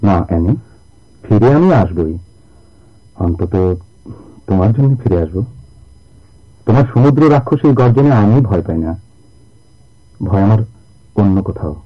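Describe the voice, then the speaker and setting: Deep-voiced young sailor, tinny recording. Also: male voice, animated, moderate pace, long pauses. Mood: resolute. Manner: earnest, poetic, dramatic delivery. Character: proud, jealous, earnest young sailor in love. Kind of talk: Bengali radio drama acting. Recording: tinny, narrow-band old radio recording.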